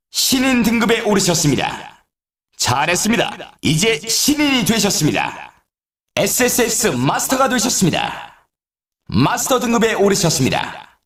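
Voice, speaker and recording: An excitable snowboarding announcer for a video game. His pitch swings wildly as he delivers polite, dramatic scripted commentary. The sound is echoey and set back from the microphone. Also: heavily acted. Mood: congratulatory. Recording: roomy echo, distant from mic.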